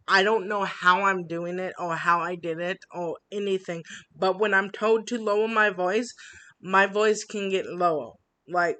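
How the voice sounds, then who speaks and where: A woman vlogging an upset complaint, halting with many fillers and restarts, reading from written notes. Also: on the low side, bright, forceful tone. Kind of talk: personal complaint vlog.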